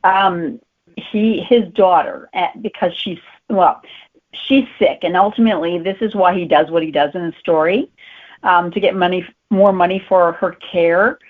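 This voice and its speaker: A woman's voice: on the low side, a little slow. A woman talking casually as an author being interviewed. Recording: tinny phone-like line.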